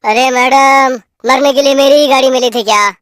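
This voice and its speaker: A woman's voice: high-pitched, fairly even intonation, normal speed, a bit bright, clean tone, no room sound, clean studio sound. A woman voicing a puzzled, wary male car driver in a cartoon ghost story, speaking in questions. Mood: annoyed.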